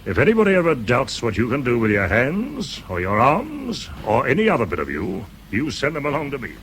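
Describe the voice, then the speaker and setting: An actor playing a kindly, unflappable British army officer in a radio drama, breezy and encouraging in clipped old-chap speech. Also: male voice, mid-range voice, huge pitch swings, brisk, fairly bright tone, a little hiss or hum, rough recording. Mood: hearty, reassuring.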